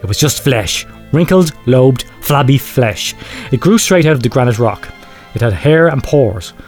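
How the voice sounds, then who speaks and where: A man playing a grave researcher, telling a horror confession in a measured, clinical and ominous way. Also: medium pitch, animated, a little mellow, soft background music. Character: grave male researcher confessing secret horrific experiments. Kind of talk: dramatic horror storytelling, read aloud.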